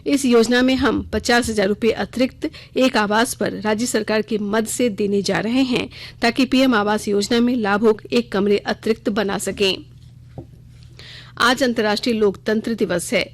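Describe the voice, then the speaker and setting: A woman's voice: fairly high pitch, fairly even intonation, normal speed. Woman reading a radio news bulletin. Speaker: news reader.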